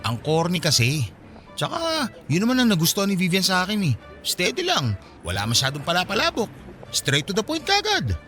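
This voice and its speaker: A man's voice: sits high, wildly swooping pitch, brisk, pushed and bright, faint music behind, exaggerated voice. An actor playing an easygoing, unromantic young man talking casually with a friend in a Filipino radio drama. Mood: easygoing, earnest.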